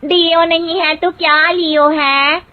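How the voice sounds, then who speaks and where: Cartoon village daughter-in-law, acted by a woman: very high, slow delivery, innocent and cheerfully literal. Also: steady tone, no echo, phone-quality sound. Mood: guileless, cheerful. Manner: literal-minded, guileless, rustic phrasing. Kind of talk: Hindi comic cartoon story dialogue.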